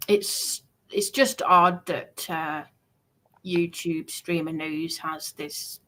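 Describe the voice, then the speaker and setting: Female, slightly low but bright, casual, questioning and reflective chat. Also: fairly even intonation, very slow, low-quality recording.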